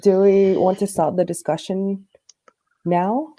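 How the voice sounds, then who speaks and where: A woman's voice: medium pitch, steady tone, takes it slowly, mellow, dry recording, low-quality recording. A woman co-hosting a podcast, chatting casually and steering the discussion. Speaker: podcast co-host.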